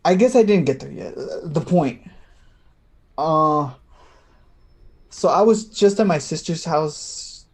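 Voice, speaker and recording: A man's voice: a little high, lively intonation. A man acting in a play reading as an anxious, tender, slightly tipsy man who stammers and hesitates. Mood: troubled. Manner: halting, stammering.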